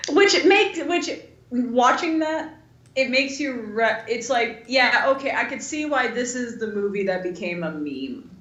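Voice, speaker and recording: A woman podcast co-host chatting casually about films in an animated, talkative way. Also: a little high, unhurried, highs cut off. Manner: animated, chatty, acts out imagined quotes. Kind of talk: casual film chat. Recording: echoey room sound.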